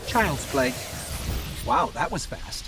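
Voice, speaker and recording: An actor playing a small, nervous, quick-witted robot companion in a video game, with a wry and anxious way of talking. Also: male voice, on the high side, big pitch swings, exaggerated voice. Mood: wry, focused. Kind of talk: dramatic video game dialogue. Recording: loud music under the voice.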